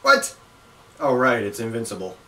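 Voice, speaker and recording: A man's voice: medium pitch, wildly swooping pitch, moderate pace, pushed and bright, a little hiss or hum, low-quality recording. A young man acting in an amateur comedy skit with exclamatory, exasperated delivery, recorded on a camera in a bedroom. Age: young adult. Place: small home bedroom, indoors.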